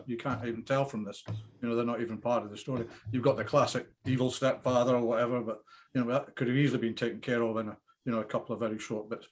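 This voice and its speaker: A man on a panel giving an informal, plain-spoken and critical opinion of a script. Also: medium pitch.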